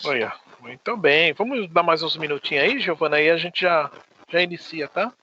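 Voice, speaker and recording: High, bright-voiced Brazilian male teacher. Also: expressive, moderate pace, muffled recording, slight background noise. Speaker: teacher leading an online class. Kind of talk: casual class talk. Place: online video class.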